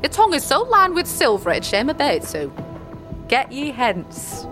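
A woman playing an old witch mentor in a fantasy audio drama, gruff but fond, with folksy archaic turns of phrase. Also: high-pitched, huge pitch swings, normal speed, music in the background. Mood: fond, teasing.

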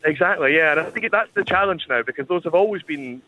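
A man with a Scottish accent, a historian guest explaining history on the radio in a measured, thoughtful way with hesitant pauses. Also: a little high, sharp tone. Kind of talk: conversational expert explanation on radio. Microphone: phone line. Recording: tinny phone line with faint noise.

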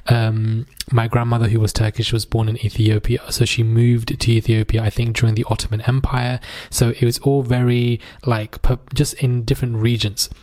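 A man's voice: fairly low pitch, a little mellow. Emphatic man hosting a podcast. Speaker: podcast host. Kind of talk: conversational podcast monologue on social issues.

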